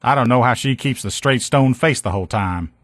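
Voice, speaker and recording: A man playing a folksy, lower-pitched veteran lieutenant. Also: a little fast, fairly bright tone, no room sound, clean studio sound, lightly acted. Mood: easygoing, reassuring. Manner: folksy, slangy, talkative. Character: gruff-talking, good-humored veteran lieutenant and power armor mechanic. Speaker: video game character voice actor. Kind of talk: video game dialogue lines.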